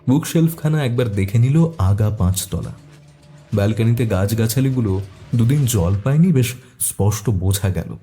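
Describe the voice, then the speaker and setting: A man's voice: medium pitch, dark tone. A man reading a scripted detective story as narrator, also speaking the detective's questions.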